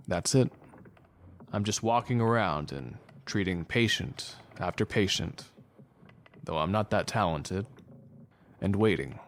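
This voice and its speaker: A man acting a low-voiced, weary doctor. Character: weary doctor, old estranged friend, wry and bitter. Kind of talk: audio drama dialogue.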